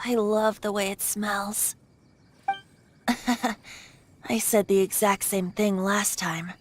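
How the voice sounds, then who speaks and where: A woman plays a youthful male bard in a video game. The voice is light and boyish, medium-pitched for a woman, with an airy, musing, confiding delivery over faint ambience. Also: fairly even intonation, slow pace, close to an everyday voice. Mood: wistful. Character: wistful, playful youthful bard secretly a wind god, male. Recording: faint background noise.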